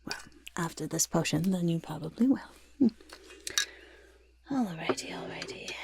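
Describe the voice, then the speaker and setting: A woman voicing a fussing, delighted goblin potion-maker in a soft-spoken ASMR roleplay, muttering and repeating words in a creaky, breathy half-whisper. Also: on the low side, heavily acted. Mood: doting, encouraging.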